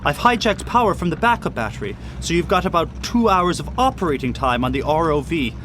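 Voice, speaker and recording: An actor playing a cautious, fussy first mate and diving technician in an audio drama, speaking formally and precisely. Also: male voice, fairly high pitch, big pitch swings, fairly quick, fairly bright tone, faint music behind. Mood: earnest briefing. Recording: clear outdoor boat and engine noise.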